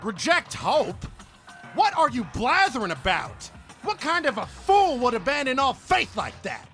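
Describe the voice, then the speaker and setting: An actor playing a pompous, indignant character who blusters with incredulity in an audio drama. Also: male voice, very high voice, wildly swooping pitch, unhurried, bright, forceful tone, soft background music, exaggerated voice. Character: blustery, indignant believer with old-fashioned words.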